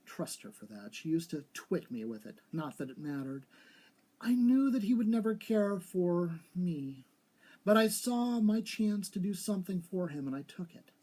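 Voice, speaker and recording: A man acts out an eerily composed young woman from a ghost story. She speaks evenly and with cold authority, in a soft-edged, mellow, husky voice. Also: high-pitched, exaggerated voice. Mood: calm, bitter confession. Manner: even, authoritative, deliberate. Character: slim dark young woman, calm, eerily confessing a killing. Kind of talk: storybook character reading.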